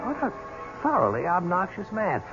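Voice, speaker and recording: A young suitor with a fairly high male voice that leaps and drops dramatically. He is smoothly polite and defensive, in an old radio play with dull sound and music behind. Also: huge pitch swings, moderate pace, heavily acted. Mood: polite unease. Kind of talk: vintage radio drama acting. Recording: dull vintage radio recording.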